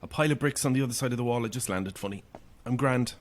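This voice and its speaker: An actress playing an anxious, broke young Irish woman in an audio horror drama, talking informally and hesitantly in a husky voice. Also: rumbling low, quick pace. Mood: casual.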